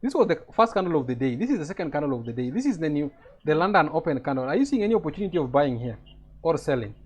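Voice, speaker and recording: A man's voice: on the high side, very animated, racing, darkish tone, husky. A man with a Kenyan accent teaching a live trading lesson over a video call, explaining step by step and checking that his students follow.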